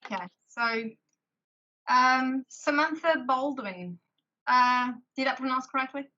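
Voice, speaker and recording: A Portuguese woman with an accent, hosting a video call interview in English, talking haltingly with many restarts. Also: sits high, sharp tone. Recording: lo-fi call audio.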